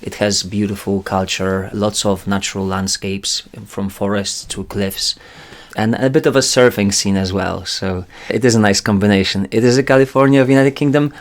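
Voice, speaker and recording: Guest voice: man with a Polish accent, low-pitched, even delivery, earnest and measured. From Poland. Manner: measured, earnest, slightly formal phrasing. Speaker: guest, climate recruitment director. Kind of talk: interview answers.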